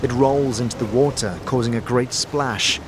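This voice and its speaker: A man's voice: medium pitch, moderate pace, background noise. A man narrating a fantasy audio drama as game master, with dramatic, descriptive storytelling. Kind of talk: scripted second-person fantasy narration. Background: music.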